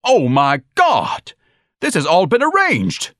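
A man's voice: medium pitch, animated, moderate pace, fairly bright tone, no room sound, studio quality, close to an everyday voice. A man voicing a pompous, self-pitying grown son in an audiobook, declaiming in florid, haughty phrases. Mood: outraged, melodramatic. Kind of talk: audiobook character dialogue.

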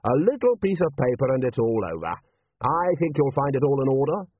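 A man voicing a calm, wily city ruler in an audiobook, measured and urbane, with drily polite understatement. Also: mid-range voice, husky, no room sound, voice pushed up. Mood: cheerful, bland. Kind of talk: audiobook character voice. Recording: narrow-band, phone-like sound.

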